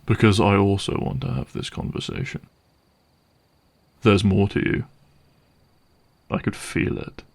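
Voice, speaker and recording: A man playing a cynical, menacing vampire hunter in an audio roleplay, speaking deliberately and sardonically with a gravelly voice. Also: on the low side, very animated, full character voice. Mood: probing, threatening. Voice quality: gravelly, creaky.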